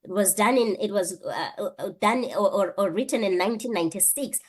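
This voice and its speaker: A woman's voice: mid-range voice, a little fast. A woman, answering an interview question earnestly, thinking aloud with rhetorical questions and frequent restarts. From South Africa. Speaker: guest, PhD candidate and lecturer.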